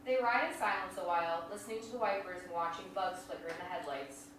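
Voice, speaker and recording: A woman's voice: lowish voice, fast talker, a bit bright. A woman reading scripted stage directions as narrator at a staged play reading. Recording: echoey hall, distant mic, faint noise.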